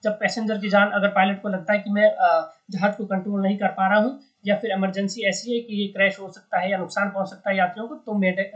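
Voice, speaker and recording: An educator giving an informal explainer on the news in a didactic way, repeating points and often adding little check-in tags. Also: medium pitch. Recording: dull lo-fi sound.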